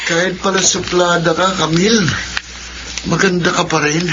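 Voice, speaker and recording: A man's voice: high voice, flat delivery, normal speed, close to an everyday voice. Man acting menacing Filipino thug, dull noisy radio sound. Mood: leering, taunting. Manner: taunting, leering, threatening. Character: menacing, lecherous male gang leader, quick to anger.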